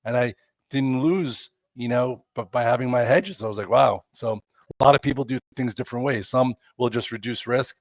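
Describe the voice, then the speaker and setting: A man's voice: mid-range voice, moderate pace, no echo. A man, congested and losing his voice, hosting a chatty, rambling live stock market show with lots of fillers. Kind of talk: casual live market commentary.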